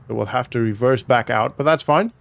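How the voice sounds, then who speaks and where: A man's voice: mid-range voice, fairly quick, creaky. A man doing casual, chatty roleplay vlog commentary over a farming video game, thinking aloud in an informal way. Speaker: gaming vlogger and host.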